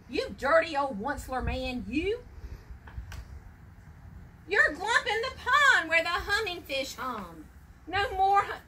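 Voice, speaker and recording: Woman acting out indignant storybook creature, male tree guardian, high swooping pitch, scolding in emphatic rhymes. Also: sharp tone, a little hiss or hum, exaggerated voice, poor audio.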